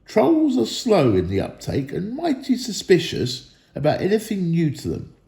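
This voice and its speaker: A man's voice: sits high, huge pitch swings, normal speed, gravelly, mellow. A man reading a story aloud as a dramatic narrator. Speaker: school staff member reading aloud. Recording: echoey room.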